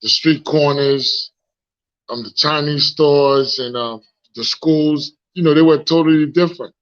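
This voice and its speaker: A man's voice: a little high, fairly even intonation, a little slow. A man in his forties from Haiti with a Haitian accent, a guest speaking frankly in a live interview and often repeating words as he searches for them. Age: 40s. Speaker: guest, founder. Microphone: phone. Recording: lo-fi phone audio.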